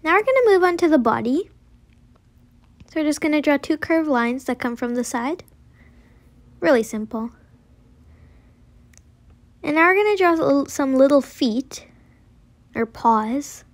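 A young girl with a high voice talks through a drawing tutorial step by step. Her wording is simple and informal, as if showing a friend what to do next. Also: expressive, measured pace, long pauses. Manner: simple, informal instruction with frequent "like".

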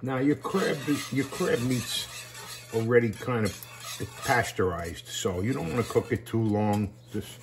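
A man's voice: mid-range voice, unhurried. A middle-aged man giving a casual, informal step-by-step cooking demonstration as he works in a home kitchen. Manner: informal, step-by-step instruction while working. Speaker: chef and cooking show host. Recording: kitchen noise, sizzling pans. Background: cooking sounds, kitchen ambience.

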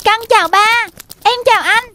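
A woman's voice: very high-pitched, moderate pace, bright, forceful tone, no room sound. A woman voicing a stubborn, whiny little preschool boy in a cartoon, insistent in manner. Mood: eager. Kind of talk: cartoon dubbing. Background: prominent music.

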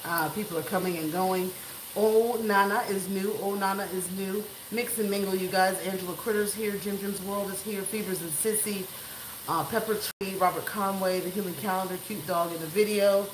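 A woman's voice: medium pitch, fairly even intonation, normal speed. A woman in her forties hosting a casual live cooking stream in a large home kitchen, chatting with viewers and greeting them by name while she cooks, away from a tripod camera. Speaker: cooking livestream host. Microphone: camera on a tripod, moving around it. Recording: echoey kitchen with faint room noise.

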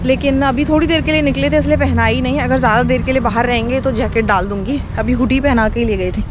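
Indian woman, 30s, vlogger, high voice, outdoor phone audio with heavy wind and traffic. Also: fairly even intonation, quick pace. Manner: chatty, pointing out sights to the camera. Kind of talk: casual travel vlog. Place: outdoors on a street and windy beach. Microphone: phone camera at arm's length.

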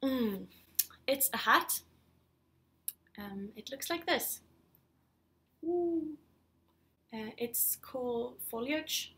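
A woman's voice: high-pitched, lively intonation, very slow, long pauses, fairly bright tone. A woman in her thirties from Sweden with a Swedish accent, chatting casually and a little hesitantly as a podcast host at home. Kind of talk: casual knitting vlog chat. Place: living room at home, indoors.

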